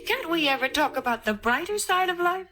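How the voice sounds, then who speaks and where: A woman giving a chatty, emphatic 1940s radio advertising pitch on a vintage recording. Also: lively intonation, fairly quick, music in the background. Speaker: commercial announcer and co-host.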